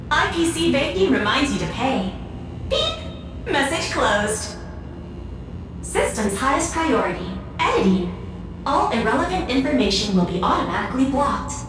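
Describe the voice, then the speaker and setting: A woman voicing a bossy, mocking computer system in a video game. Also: high voice. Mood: officious. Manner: teasing, officious. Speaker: game character. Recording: roomy echo, distant, noisy.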